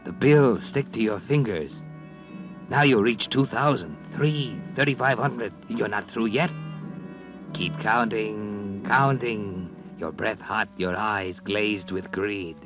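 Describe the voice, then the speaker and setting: Slow, low, ominous radio narrator. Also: male voice. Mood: sinister, taunting. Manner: sinister, deliberate, mocking. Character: ominous all-knowing male narrator taunting the greedy. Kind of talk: 1940s radio mystery narration. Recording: dull vintage radio recording with music.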